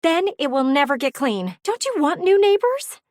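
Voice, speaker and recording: A vain, nosy talking window, a female video game character. Her voice sits fairly high and swings widely in melody, full of theatrical fretting and teasing. The sound is close and dry, with no room around it. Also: sing-song, normal speed, no echo. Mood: fretful, disdainful.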